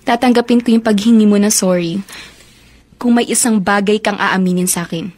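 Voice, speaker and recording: A woman's voice: mid-range voice, a little fast, darkish tone, close to an everyday voice. A woman playing an emotional young daughter and girlfriend in a Filipino radio drama. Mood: stern, demanding.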